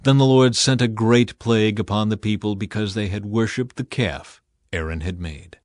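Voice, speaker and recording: A man reading scripture as a scripted audiobook narration in a measured, solemn manner. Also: lowish voice, darkish tone, no room sound, clean studio sound.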